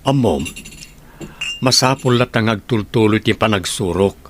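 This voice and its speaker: A man with an Ilocano accent playing a gentle, hardworking middle-aged father in an audio drama. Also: fairly low pitch, measured pace, natural voice. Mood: gentle, earnest.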